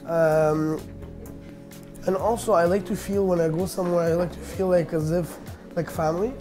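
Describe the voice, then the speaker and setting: A man's voice: a little high, fairly even intonation, moderate pace, over music. A man in his thirties, born in Russia and raised in Canada, answering interview questions in a casual, hesitant way with many fillers and restarts. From Armenian, born in Russia, raised in Canada. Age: 30s. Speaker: business owner.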